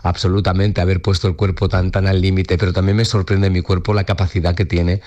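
A man's voice: deep voice, level tone, a little fast, darkish tone. A man in his fifties, a dancer and choreographer, talks reflectively in an interview, often repeating words and adding tag questions. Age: 50s. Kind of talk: conversational interview.

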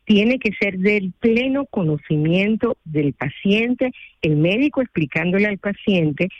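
A woman's voice: mid-range voice, animated, unhurried. A woman with a Venezuelan accent, a lawyer and consultant calling into a radio show, explaining things formally and at length. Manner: articulate and formal, explains at length with "este" fillers. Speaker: guest, lawyer and medical risk consultant. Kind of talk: phone-in radio interview. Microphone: telephone. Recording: muffled phone line.

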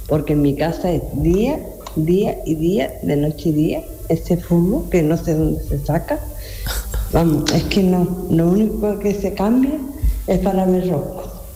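A woman's voice: on the low side, animated, measured pace, warm tone, reverberant, big-room sound, low-quality recording. A woman calling in to a radio show, complaining in an exasperated way. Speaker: caller.

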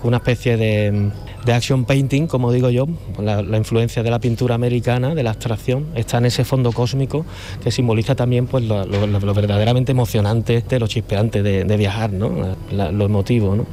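A middle-aged painter with a Cádiz Andalusian accent, chatting as an interview guest in a loose, rambling way. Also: male voice, medium pitch, steady tone, fairly quick, mellow, slight background noise. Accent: Cádiz Andalusian Spanish. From Cádiz, Spain. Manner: rambling, loose talker who often circles back. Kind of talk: relaxed conversational interview.

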